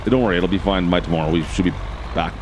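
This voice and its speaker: A man with a hoarse, raspy voice casually commenting as he plays a farming game, thinking aloud and talking to his viewers. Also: low-pitched, racing, noisy background. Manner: chatty, thinks aloud, addresses viewers as guys. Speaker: game channel host.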